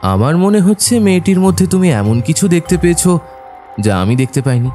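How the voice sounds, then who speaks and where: An actor playing a thoughtful, observant detective's companion, telling the story in a measured, descriptive way for an audio drama. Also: male voice, on the high side, sing-song, warm tone, soft background music. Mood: thoughtful, recalling. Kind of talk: scripted narration and audio drama acting.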